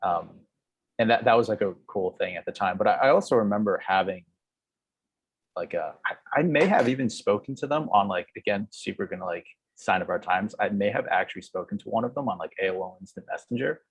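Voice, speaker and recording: A man's voice: on the low side, brisk, low-quality recording. A man in his thirties, a podcast guest, recalling memories in a rambling way, filling with "like" constantly. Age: 30s. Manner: rambling, heavy use of "like". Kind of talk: casual chat.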